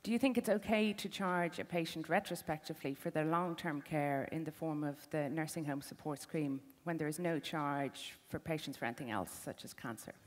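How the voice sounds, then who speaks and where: A woman asks quick-fire interview questions briskly and presses for short, direct answers. Her voice sits a little low. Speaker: interviewer, health policy analyst. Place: conference hall.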